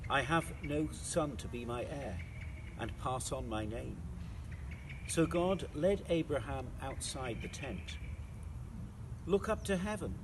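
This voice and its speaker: Middle-aged man, priest, mid-pitched voice, emphatic storytelling phrasing, noisy background. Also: lively intonation, slow pace, long pauses. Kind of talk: children's Bible reading and simple reflection.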